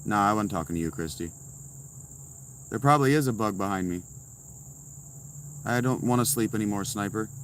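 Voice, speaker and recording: A man's voice: fairly low pitch, normal speed, pauses often, a bit bright, a little hiss or hum. A man streaming live from inside a tent, answering chat messages with blunt, sarcastic replies. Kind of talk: casual livestream chat.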